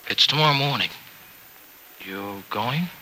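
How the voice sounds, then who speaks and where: An earnest American farmer, bright forceful voice. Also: male voice, mid-range voice, expressive, measured pace, slight background noise, rough recording. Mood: subdued, sympathetic. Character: earnest, generous farmer, anxious for an injured friend. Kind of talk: old-time radio soap opera acting. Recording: vintage radio drama recording.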